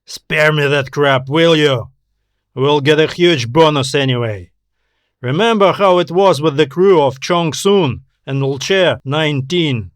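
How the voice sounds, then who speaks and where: A man's voice: on the high side, a little slow, dry recording, clean studio sound, close to an everyday voice. A man voicing a brash, irritable male space explorer with a dismissive, slangy, emphatic delivery in an audio story. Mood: dismissive, eager.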